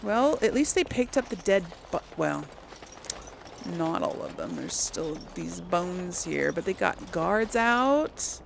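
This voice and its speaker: A woman's voice: lowish voice, sing-song, takes it slowly, vocal fry, slight background noise. A woman giving casual, rambling commentary on a video game, thinking aloud to her viewers. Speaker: host of a video game let's play.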